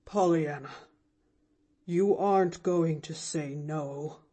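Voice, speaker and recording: A lonely older man speaks gently and slowly, his voice low and heavy with feeling, sometimes dropping almost to a whisper, as a woman reader gives him voice. The tone is dark and mellow. It is a grave storybook figure. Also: breathy edge, full character voice. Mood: gentle.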